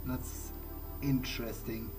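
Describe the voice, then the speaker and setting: A man's voice: mid-range voice, fairly even intonation, slow. A man narrating a video game playthrough in character, in a hesitant, musing, conversational way. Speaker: let's play host. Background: game music.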